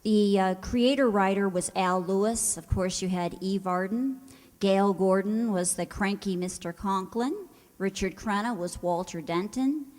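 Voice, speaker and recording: A woman's voice: medium pitch, a little mellow. Woman host introducing a show to an audience, conversational, mid-range voice, long room reverb. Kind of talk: informal spoken introduction to an audience. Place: hall, before an audience. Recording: echoey hall.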